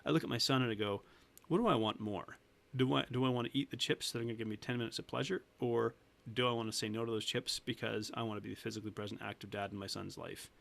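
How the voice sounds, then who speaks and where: A man's voice: fairly low pitch, steady tone, fast talker, vocal fry, a bit bright. A man in his thirties, a weight loss coach talking earnestly as a podcast guest, laughing often and restarting sentences. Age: 30s. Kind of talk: casual interview talk.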